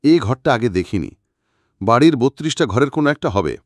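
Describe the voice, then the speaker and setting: A man narrating a scripted story as an audiobook reader, dramatic and expressive. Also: medium pitch, fairly quick, no room sound, studio quality.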